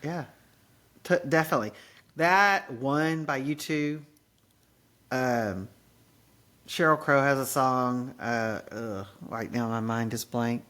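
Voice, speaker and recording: A woman's voice: very deep voice, takes it slowly, long pauses, a bit bright. Chatty goofy woman in her fifties. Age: 50s. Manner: chatty and goofy, often laughing at herself. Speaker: podcast host sharing her grief. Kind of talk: casual conversation.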